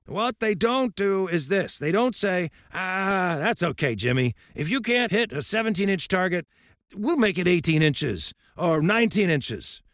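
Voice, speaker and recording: A man voicing a gruff, fiery old baseball coach who barks and bellows at a crowd. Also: high voice, animated, measured pace, fairly bright tone, no echo, clean studio sound, voice pushed up. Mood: barking. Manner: barking, bellowing.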